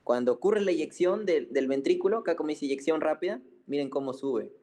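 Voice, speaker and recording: A man's voice: fairly high pitch, lively intonation, brisk, mellow. A man giving an informal medical lecture, colloquial and didactic, repeating key points and often checking in with short tags. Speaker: medical physiology teacher.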